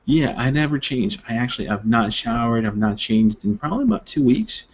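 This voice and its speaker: A man's voice: medium pitch, expressive, fairly quick, husky. A man co-hosting a casual web show, joking and rambling with lots of fillers, heard over a video call. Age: adult father of two. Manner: rambling, playful, filler-heavy. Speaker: co-host. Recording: muffled video-call audio.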